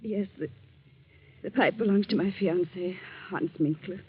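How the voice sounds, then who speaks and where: A woman's voice: lowish voice, measured pace, close to an everyday voice. A woman acting an anxious, shaken young fiancée in an old-time radio drama, faltering and pleading. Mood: uneasy. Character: anxious young Austrian fiancée, shaken by her uncle's murder. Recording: dull noisy old radio recording.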